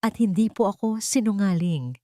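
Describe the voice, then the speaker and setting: A woman voicing a dignified, determined widow who speaks calmly and firmly in a story. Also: medium pitch, normal speed, dry recording, clean studio sound, pitched above the performer's own voice, natural voice. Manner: clear, calm, firm. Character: dignified, determined young widow standing up for herself.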